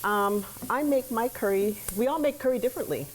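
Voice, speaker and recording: A woman's voice: a little high, brisk. Woman explaining her cooking over a sizzling pan. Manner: explanatory, chatty. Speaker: guest cook. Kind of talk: casual cooking demonstration. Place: TV studio kitchen. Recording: clear sizzling and stirring noise behind. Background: sizzling pan, stirring, clicks.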